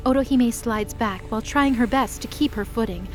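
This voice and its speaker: Female narrator, measured storytelling over a music bed. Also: fairly high pitch, a little mellow. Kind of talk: scripted dramatic narration.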